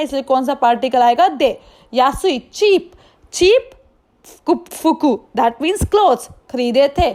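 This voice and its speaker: Female language tutor, Indian accent, high voice, measured pace, explains step by step with check questions. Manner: didactic, asking questions and answering them. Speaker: language teacher. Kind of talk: explanatory lesson with translations.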